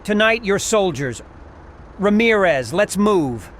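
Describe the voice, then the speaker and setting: A man's voice: sits high, sing-song, takes it slowly, fairly bright tone, a little hiss or hum, full character voice. A man voicing a terse, authoritative army sergeant in an audio comic drama. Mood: urgent, barking. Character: gruff, commanding army sergeant leading survivors. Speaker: voice actor.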